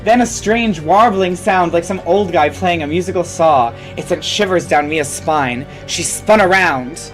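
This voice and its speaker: A host performs a comedic story reading in a mid-pitched voice. The delivery is theatrical, with asides and self-corrections. Music plays softly underneath. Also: unhurried, hardly any pauses. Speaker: fan fiction reader and host.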